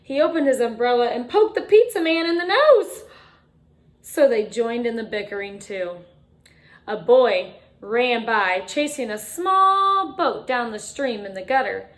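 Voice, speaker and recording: A woman reading a picture book aloud to children like a teacher, expressively, with dramatic emphasis and chatty asides. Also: fairly high pitch. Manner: expressive, dramatic emphasis, drawn-out refrains, chatty questions to young listeners. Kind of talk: animated children's storytime read-aloud with asides to listeners.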